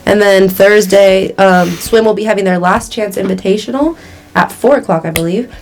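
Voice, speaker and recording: A woman's voice: mid-range voice, normal speed, a little mellow. Upbeat young woman hosting. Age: young adult. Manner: upbeat, rundown of games. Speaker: podcast host. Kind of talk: casual chat.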